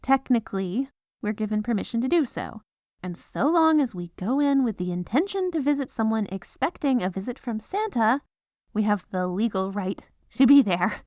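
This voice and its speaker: A woman's voice: a little high, expressive, moderate pace, mellow, no echo, studio quality, natural voice. A woman playing an exasperated, businesslike head lawyer at the North Pole in a scripted comedic audio roleplay. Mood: matter-of-fact. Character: exasperated, dutiful head legal advisor at Santa's North Pole.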